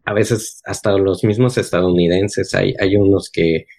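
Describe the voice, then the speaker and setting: A man's voice: sits low, steady tone, a little slow, darkish tone. A Mexican man with a Mexican accent, chatting casually and unhurriedly, often ending his points with a questioning tag. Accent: Mexican Spanish. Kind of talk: casual chat.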